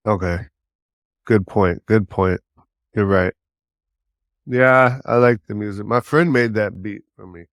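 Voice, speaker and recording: A man's voice: fairly low pitch, measured pace, long pauses, no room sound, clean studio sound. A man chatting casually as a podcaster getting feedback on his work. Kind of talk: casual chat.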